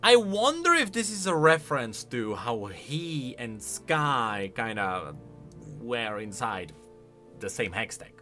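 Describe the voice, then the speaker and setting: A man giving casual, expressive commentary as a video host, thinking aloud as he goes. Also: mid-range voice, slow pace, soft background music.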